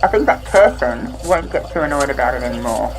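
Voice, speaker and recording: A woman's voice: extremely low pitch, animated, fairly quick, low-quality recording. A woman playing a wary but playful survivor in a dystopian audio drama, talking in a casual, understated way. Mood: teasing. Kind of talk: naturalistic audio drama dialogue.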